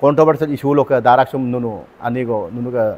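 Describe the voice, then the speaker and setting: Arunachali guy, galo speaker, local official making a statement, halting, mixes languages, medium pitch. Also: darkish tone. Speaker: local council member. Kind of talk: press statement. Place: open-sided covered community hall. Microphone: lapel microphone.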